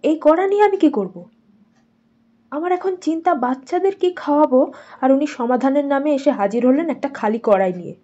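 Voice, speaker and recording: A woman's voice: high voice, brisk, dark tone, close to an everyday voice. A woman playing a worried, loving village mother of young children in a dramatized doll story. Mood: exasperated. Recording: muffled audio with faint music.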